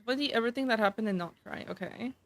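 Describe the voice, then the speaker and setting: A woman's voice: on the high side, fast talker. A woman streaming a game, casually reading dialogue aloud and reacting in an irreverent, slangy, sweary way.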